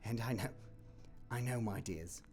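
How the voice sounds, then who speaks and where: A man voicing a humble, grieving clerk and father who talks softly and tenderly, with halting restarts, in an audio drama. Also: lowish voice, soft background music.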